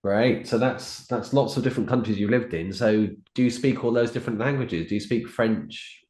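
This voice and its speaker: A man's voice: on the low side, moderate pace, darkish tone. A man from the UK hosting an interview, asking friendly questions and repeating himself as he asks. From United Kingdom. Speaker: podcast host. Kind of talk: casual interview conversation. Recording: roomy echo.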